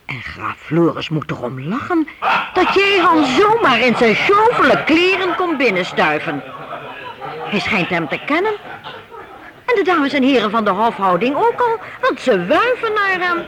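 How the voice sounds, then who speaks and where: A storyteller narrating a radio play for young listeners in a conversational, animated way, posing rhetorical questions. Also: sits high, unhurried, over a noisy crowd. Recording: noisy old radio play recording.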